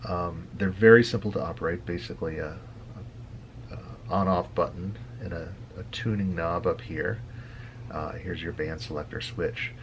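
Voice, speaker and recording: Low, gravelly-voiced man explaining casually. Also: fairly even intonation, muffled recording, a little hiss or hum. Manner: halting, with frequent hesitant pauses. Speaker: hobby video host. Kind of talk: casual screen-share tutorial.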